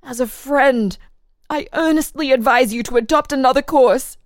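An actress playing a proud, resolute young village maiden, formal and declamatory in a melodramatic reading. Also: female voice, high-pitched, moderate pace, no room sound, studio quality, natural voice. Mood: firm admonishing.

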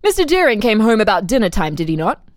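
An insistent examining official played by a woman puts methodical, pressing questions to a murder prisoner. The delivery is a dramatic reading at a middle pitch, recorded very dry. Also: big pitch swings, quick pace, no echo, lightly acted. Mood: probing, insistent. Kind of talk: dramatic reading of an interrogation transcript.

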